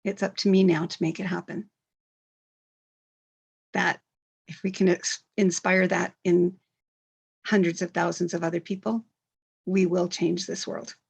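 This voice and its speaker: A woman, a co-author, speaking earnestly on a video call with slow, emphatic phrasing. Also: lowish voice, little pitch movement, creaky, a little mellow, husky. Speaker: co-author at a book launch. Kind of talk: casual online talk.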